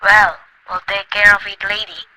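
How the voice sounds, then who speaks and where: An actor playing a weary, patient desk police sergeant who talks in a calm, placating, slightly patronizing way in an amateur radio play. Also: male voice, sits high, moderate pace, heavy background noise, natural voice.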